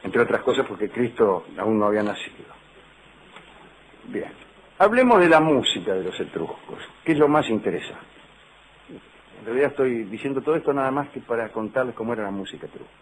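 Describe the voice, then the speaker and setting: A man's voice: medium pitch, very animated, fairly quick, slight background noise, poor audio. A middle-aged man with a Rioplatense Spanish accent, hosting a radio show as a humorous lecture with dry, digressive asides. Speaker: radio host.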